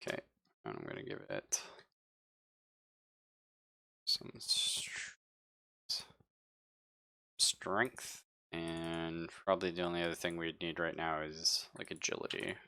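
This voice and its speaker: Male game master, low register, slow delivery, slangy and profane live narration, close dry sound. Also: vocal fry, sharp tone, clean tone, no echo.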